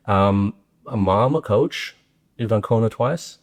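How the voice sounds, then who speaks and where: A man's voice: fairly low pitch, slow. A man in his forties from Australia hosting a podcast, chatting casually with lots of fillers and tag questions. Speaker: podcast host. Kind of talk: casual interview chat.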